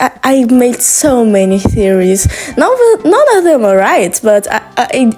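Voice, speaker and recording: A woman's voice: fairly high pitch, a little mellow. A young woman from Brazil whose first language is Portuguese, chatting casually in a rambling, hesitant way with many restarts. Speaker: video creator. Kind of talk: casual monologue.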